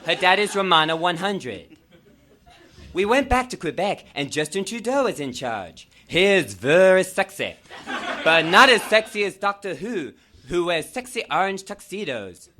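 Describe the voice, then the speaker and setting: A man's voice: high voice, very animated, normal speed, sharp tone, a little hiss or hum, exaggerated voice. A man performing a comedic reading as a melodramatic, gushing nineteen-year-old fangirl telling her own story with exclaiming emphasis.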